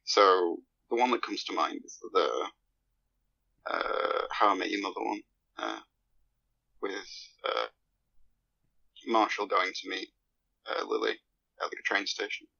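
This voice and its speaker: A man's voice: deep voice, expressive, a little slow, gravelly, pauses often, bright, forceful tone, lo-fi sound. A man chatting casually as a podcast guest, rambling a little hesitantly.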